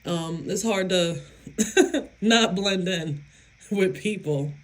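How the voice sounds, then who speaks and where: A woman vlogger and psychic medium talking casually to her viewers, with a hoarse, raspy voice and an emphatic, self-assured way of speaking. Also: lowish voice, low-quality recording. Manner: slow, emphatic, self-affirming, laughs often. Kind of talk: casual talk to camera.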